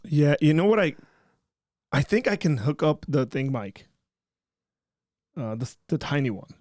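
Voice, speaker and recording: A man hosting a casual livestream, chatting loosely and often trailing off into a quiet mumble. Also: on the high side, unhurried, no room sound, clean studio sound. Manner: relaxed, rambling, trailing off quietly. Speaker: livestream host.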